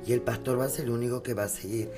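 Male host, preachy and emphatic, over loud guitar music. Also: medium pitch, fairly even intonation, very fast. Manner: emphatic, preachy, rhetorical questions. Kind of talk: opinionated talk-show chat.

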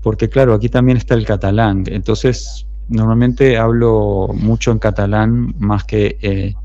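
Middle-aged uruguayan musician, low mellow voice, rambling and reflective, muffled interview recording. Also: male voice, steady tone, measured pace. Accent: Uruguayan Spanish. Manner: thoughtful, rambling with many restarts. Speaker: street musician and songwriter. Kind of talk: relaxed interview conversation. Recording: dull muffled sound.

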